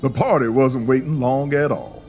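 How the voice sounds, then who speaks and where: A woman playing a calm, folksy, protective leader of travellers in an audio drama, with a husky voice and unbothered manner. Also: very deep voice, normal speed, soft background music. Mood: calm, resigned.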